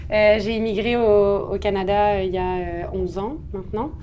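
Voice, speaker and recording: A woman's voice: mid-range voice, fairly even intonation, moderate pace, warm tone, slight background noise. A woman in her thirties from France with a French accent, talking at length in a conversational, self-deprecating way as an interview guest. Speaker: interview guest, urban planner. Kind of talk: conversational interview, personal storytelling.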